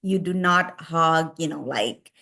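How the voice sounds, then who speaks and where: A woman's voice: sits low, slow pace, gravelly, bright, forceful tone. A woman in her thirties from Nepal with a Nepali accent, giving casual advice and leaning often on "you know" and "like.". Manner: heavy use of fillers "you know" and "like".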